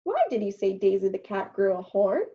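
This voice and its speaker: A woman's voice: mid-range voice, very animated, moderate pace, warm tone, husky, voice pushed down. A woman reading aloud and voicing a puzzled, protesting duck in a farm storybook. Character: confused barnyard duck. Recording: dull lo-fi recording.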